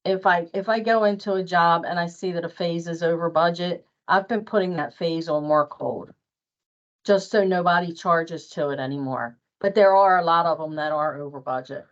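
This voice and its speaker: A woman with a slightly low voice leads part of a workplace training talk. Her delivery is informal and instructional. The sound is dull and lo-fi. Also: normal speed. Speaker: training presenter. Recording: dull lo-fi meeting audio.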